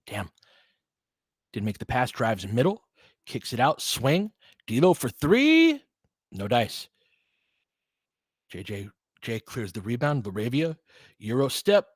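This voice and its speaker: A man's voice: mid-range voice, big pitch swings, takes it slowly, long pauses, breathy edge, no room sound, clean studio sound. A man doing casual, partisan live basketball play-by-play in short, clipped, informal phrases, reacting emotionally to each play. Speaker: play-by-play commentator and host. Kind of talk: casual, fan-biased live basketball commentary.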